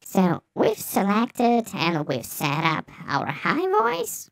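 A man voicing a cutesy anime-style girl through a pitch shifter that raises his voice. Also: huge pitch swings, slow, vocal fry, fairly bright tone, no echo, full character voice. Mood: matter-of-fact. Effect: pitch shifter raising the voice.

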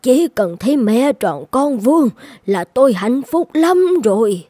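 A woman voicing a kindly, anxious old village midwife in an animated fairy tale, with an earnest, drawn-out delivery. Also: a little high, slightly rough, no echo, clean studio sound, full character voice. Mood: humble. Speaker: voice actor.

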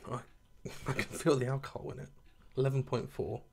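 A man's voice: mid-range voice, fairly even intonation, a little fast, vocal fry, noisy background. A man in his thirties chatting casually to camera in a kitchen at home, rambling a little and laughing at himself as he reviews a beer.

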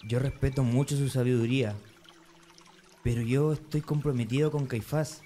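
A man's voice: mid-range voice, steady tone, normal speed, dark tone, slight background noise, lightly acted. A man acting as a zealous, dutiful young Pharisee, speaking earnestly and resolutely in an amateur radio drama. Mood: troubled, resolute.

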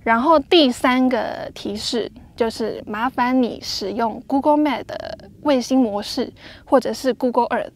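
A woman's voice: fairly high pitch, unhurried. A young woman casually chatting and explaining clues in a travel vlog, recorded outdoors in desert badlands with a lapel mic. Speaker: travel vlogger. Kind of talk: casual chat.

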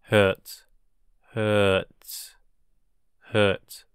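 Slow, flat male teacher for repeat-after-me drills, each word said three times. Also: lowish voice, smooth, no room sound, studio quality. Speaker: English teacher. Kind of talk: slow drilled vocabulary reading.

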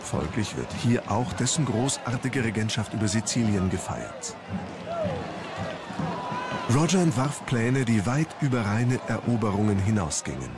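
A man's voice: on the low side, lively intonation, a little slow, a loud crowd. A man reading scripted documentary narration as a narrator. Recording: loud music under narration. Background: loud background music.

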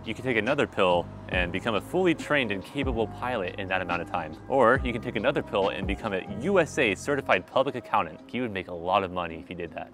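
A young man with a fairly low voice talks to the camera on a city street at night. He is wry and animated, and he speaks into a small clip-on mic. Soft music plays underneath. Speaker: vlogger. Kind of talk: vlog monologue to camera. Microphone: small clip-on mic on his shirt.

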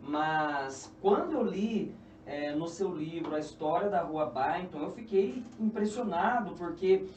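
A man's voice: on the high side, animated, unhurried, darkish tone, highs cut off. A man with a Brazilian Portuguese accent, co-hosting a podcast interview and chiming in with short questions and comments. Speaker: podcast co-host. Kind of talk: casual interview chat. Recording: echoey, distant, faint noise.

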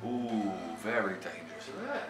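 A man exploring an old house, making quiet offhand remarks. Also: on the low side, lively intonation, normal speed. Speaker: urban explorer. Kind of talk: casual exploring chat. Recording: loud music underneath. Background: loud background music.

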